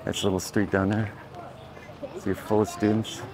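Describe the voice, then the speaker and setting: A man's voice: lowish voice, normal speed, noisy background. A man talking casually as he walks outdoors, filming a travel vlog with a handheld camera, rambling and correcting himself. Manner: rambling, relaxed, self-correcting. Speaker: travel vlogger. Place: outdoors by a beach and dirt roads. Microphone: handheld camera, filming first-person.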